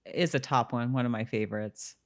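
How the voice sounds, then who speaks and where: A woman's voice: rumbling low, a little fast. A woman co-hosting a podcast, chatting casually and playfully about a TV show. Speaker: podcast co-host.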